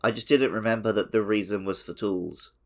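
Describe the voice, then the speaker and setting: A man's voice: fairly low pitch, fairly even intonation, a little fast. A man co-hosting a podcast, chatting casually and conversationally about a video game. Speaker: podcast co-host.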